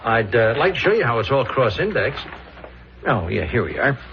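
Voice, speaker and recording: A man's voice: mid-range voice, sing-song, normal speed, vocal fry, a little hiss or hum. An actor playing a chatty, eager male newspaper columnist in a 1970s radio drama, folksy and a little hesitant, on a dull old recording. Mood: eager, proud.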